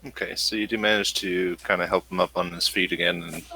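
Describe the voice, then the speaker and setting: Low, bright-voiced man running a game. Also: level tone, a little fast, a little hiss or hum, poor audio. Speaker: game master. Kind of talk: tabletop role-playing game narration.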